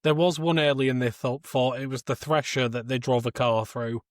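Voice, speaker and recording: A man's voice: medium pitch, fairly even intonation, moderate pace, no echo, studio quality. A man chatting casually as a podcast co-host, chipping in with wry remarks. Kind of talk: casual chat.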